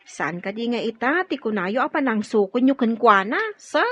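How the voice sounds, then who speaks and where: A sassy chatty ilocano maid, high voice that swoops around, music behind. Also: female voice, expressive, moderate pace, husky. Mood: cheeky. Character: chatty, cheeky housemaid, deferential but sassy. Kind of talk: Ilocano radio drama acting.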